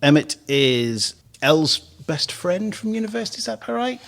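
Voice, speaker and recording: A man's voice: high-pitched, sing-song, moderate pace, creaky, a bit bright. A man in his fifties hosting a radio podcast in a studio. He talks casually, laughs easily and often restarts his phrases. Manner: chatty, frequent laughs and restarts.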